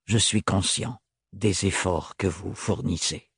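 A man reading aloud and voicing a tall, stern, gruff man who speaks curtly and flatly. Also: sits low, slow, no echo, studio quality, voice pushed down, heavily acted. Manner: curt, gruff, flat like an accountant.

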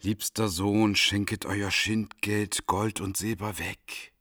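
An actor playing a solemn sage who gives measured, admonishing counsel in a radio play. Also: male voice, on the low side, flat delivery, a bit bright, dry recording, clean studio sound. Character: solemn, unhurried male sage counselling a young man.

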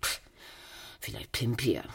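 A woman's voice: slow, close to an everyday voice. An actress playing a jaded, flippant young woman of the Paris underworld in a German radio drama, speaking with a bored, slangy drawl. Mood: flippant storytelling.

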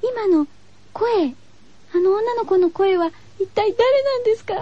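A woman co-hosts a radio show with an extremely high but soft-edged voice. Her casual chat runs on short agreements and hesitant questions, in a dull lo-fi recording. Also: a little slow, no echo.

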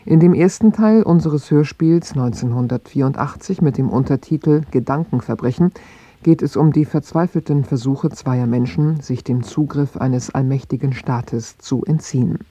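A man's voice: medium pitch, normal speed, warm tone. A man presenting on radio, reading a scripted introduction in a formal, factual manner. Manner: formal, factual read delivery. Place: radio studio. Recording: dry, slightly dull radio studio.

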